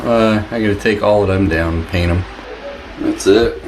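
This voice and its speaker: A man's voice: on the low side, moderate pace, darkish tone. A man giving casual, folksy vlog commentary on home repairs in short offhand remarks, recorded on a handheld camera. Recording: noisy handheld camera audio, background hum.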